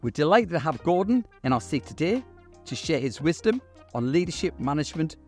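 A man hosting an interview podcast, speaking in formal, measured phrases. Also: a little high, very animated, darkish tone, faint music behind. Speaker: podcast host, university lecturer. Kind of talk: scripted introduction and interview questions.

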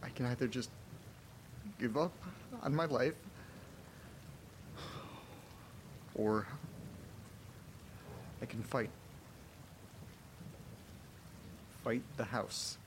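Slow, halting, trapped man in horror drama, acted. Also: mid-range voice, noisy background, lightly acted. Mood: despairing. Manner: muttering to himself, halting, sweary. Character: frightened man trapped alone in a haunted house. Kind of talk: horror audio drama monologue.

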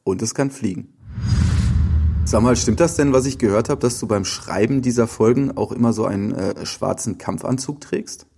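A man hosting a podcast in casual chat, putting questions with a playful, halting delivery. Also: medium pitch, vocal fry, noisy background. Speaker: podcast host.

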